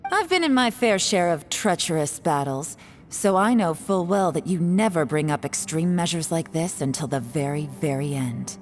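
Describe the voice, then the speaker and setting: A shrewd game strategist lady, lowish voice, sardonic, measured, cool and skeptical. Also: expressive, faint music behind. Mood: cool, cutting. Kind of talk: video game voice acting.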